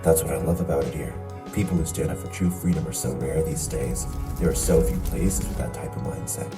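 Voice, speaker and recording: A man voicing a grave, curious, freedom-loving traveler in an amateur animated series. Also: a bass voice, a little fast. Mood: thoughtful. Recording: echoey, distant mic under music.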